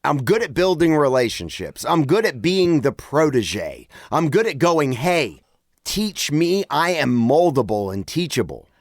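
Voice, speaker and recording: A man's voice: a little high, very animated, unhurried, dry recording, studio quality. A man in a casual podcast chat, speaking bluntly and informally with quoted asides. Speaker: podcast host or co-host.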